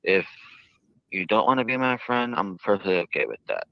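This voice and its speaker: A man with a very low, smooth voice gives earnest interview answers. His phrasing is halting and stiffly formal. The audio is close and dry but dull and lo-fi. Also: very animated, no room sound. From United States. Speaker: jail inmate being interviewed.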